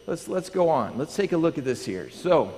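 A man in his thirties, a pastor, preaching in a lively, animated way and questioning his congregation. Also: a little high, normal speed. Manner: animated, pacing the stage, asking the congregation. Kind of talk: lively sermon with prayer. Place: large church sanctuary. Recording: long church hall reverb.

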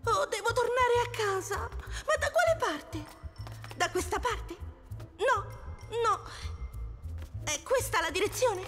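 Extremely high little girl critter, sweet, plaintive, singsong, slow cartoon delivery, music behind. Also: lively intonation, fairly bright tone, heavily acted. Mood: lost, fretful. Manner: singsong, exclaiming, childlike. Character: tiny female baby animal, cute, sweet, plaintive. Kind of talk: animated children's cartoon dub.

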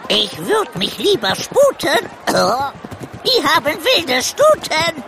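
An actor voicing a cheeky talking parrot who speaks in drawn-out rhymes for a children's radio play. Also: high voice, very animated, background noise, exaggerated voice. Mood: nervous, cheeky. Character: cheeky rhyming talking parrot, cartoon sidekick.

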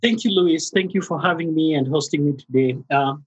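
Man, Kenyan accent, middle-aged, husky, hesitant with fillers. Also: fairly high pitch, muffled recording. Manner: halting, many fillers and restarts. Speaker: guest therapist. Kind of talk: conversational interview.

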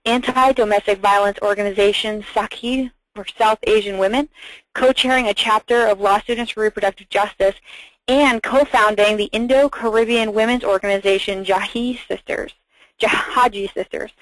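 A woman's voice: a little high, no echo, poor audio. A woman moderating a webinar, reading housekeeping notes and speaker introductions in a careful, scripted way with small restarts.

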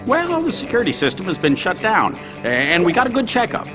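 A man's voice: sits high, wildly swooping pitch, brisk. A man voicing a long-suffering superhero sidekick, dry, sarcastic and exasperated, in a cartoon video game. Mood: dry exasperation. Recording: music behind the voice.

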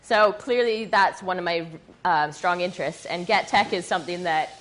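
A woman from western Canada with a scratchy, husky voice, giving a chatty slide presentation with many ums, recorded from a camera across a dim room. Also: lowish voice, normal speed, a bit bright. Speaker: guest speaker. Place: dim meeting room with projector. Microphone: far camera.

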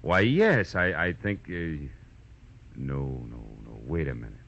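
An American man playing a wry, flirtatious hard-boiled private detective in a 1940s radio drama, deliberate and world-weary. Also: extremely low pitch, very animated, vocal fry, a bit bright, a little hiss or hum, full character voice. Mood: flirtatious, hesitant. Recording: dull vintage radio recording, faint music.

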